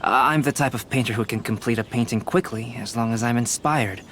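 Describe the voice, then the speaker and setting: A man voicing a calm, composed young male painter in a video game, speaking in a measured way. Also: mid-range voice, a little hiss or hum, lightly acted. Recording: game audio with faint score.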